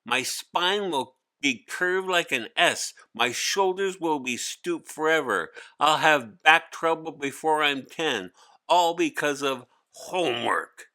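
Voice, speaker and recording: Man playing a high-pitched, whiny young schoolboy. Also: animated, slow pace, pushed and bright, full character voice. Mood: grumbling. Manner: whiny, complaining. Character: young schoolboy, grumbling, excuse-making, burdened by homework. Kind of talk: storybook character voice.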